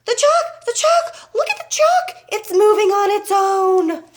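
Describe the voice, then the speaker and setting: A woman voicing an excitable, hysterical schoolboy in a storybook, with a shrill screaming delivery. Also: measured pace.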